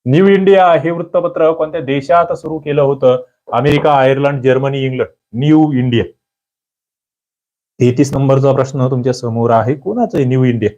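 A man teaching an exam prep lecture, quizzing listeners with lively rhetorical questions. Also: medium pitch, moderate pace. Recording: dull lo-fi audio.

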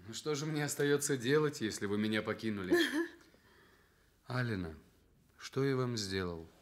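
Guy playing a proper lovestruck patient, earnest, low voice, soviet radio play. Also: moderate pace, close to an everyday voice. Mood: tender, pleading. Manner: earnest, reproachful. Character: earnest, lovestruck, proper male journalist recovering in hospital.

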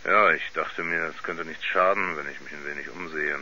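Country sheriff, very hard-edged tone, halting folksy delivery, slightly dull vintage radio play recording. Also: male voice, a bass voice, steady tone, pushed and bright, a little hiss or hum, heavily acted. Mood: puzzled, matter-of-fact. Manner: folksy, halting, thoughtful witness account. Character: plain-spoken rural American sheriff giving testimony. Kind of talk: 1970s German radio play acting.

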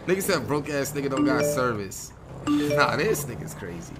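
A man's voice: mid-range voice, sing-song, moderate pace, loud music behind the voice. A man improvising street role-play in an online game, brash and slangy, repeating his phrases. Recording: noisy gaming mic, vehicle ambience.

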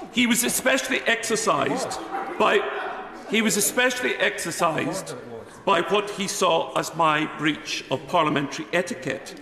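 A man's voice: high-pitched, gravelly, pushed and bright. A middle-aged man, a member of parliament, giving a formal, defensive speech from his notes in a debating chamber. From Scotland. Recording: echoey chamber with long reverb.

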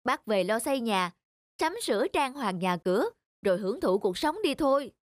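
A man voicing a brash, spendthrift younger brother in an animated cartoon, middle-aged, scoffing and whiny. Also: very high voice, normal speed, dry recording, studio quality. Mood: scornful, boastful.

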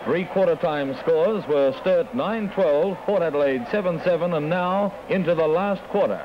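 A man reads a scripted newsreel voice-over in a measured, formal way, his pitch a little above average. The sound is dull and lo-fi with steady noise behind him, like old newsreel audio. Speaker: newsreel narrator.

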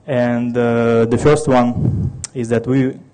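A man's voice: medium pitch, level tone, slow pace, warm tone. A man in his thirties from Ukraine with a Russian accent, giving a technical conference talk with many restarts and fillers. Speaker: conference speaker.

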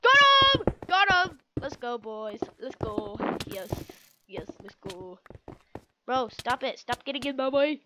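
A woman's voice: high voice, sing-song, slow, heavy background noise. A young woman with a stuffy, sick-sounding voice giving casual commentary while playing a game, cheering and groaning at what happens. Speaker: gaming video creator.

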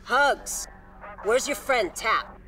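An actress playing a young, dry and composed police detective from Southern California in a video game. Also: female voice, fairly high pitch, expressive, very slow, pushed and bright, exaggerated voice, low-quality recording. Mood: brisk. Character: cool, teasing female police detective, young adult. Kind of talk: naturalistic game acting. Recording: music behind, car interior.

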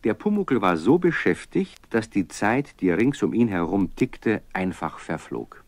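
A low-voiced storyteller narrates a children's audio tale with very lively intonation. The sound is dry and close. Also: male voice, measured pace, no echo, heavily acted. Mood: storytelling.

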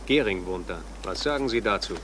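A man's voice: on the low side, lively intonation, a little fast, background noise, natural voice. An actor playing a businesslike male police detective in a crime radio drama, reporting in a factual, orderly way.

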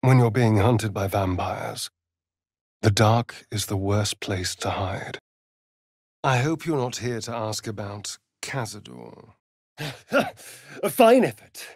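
A man's voice: mid-range voice, no room sound. Sardonic ancient vampire, arch and theatrical, video game style, takes his time, close dry sound. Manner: arch, drawling, flamboyant delivery.

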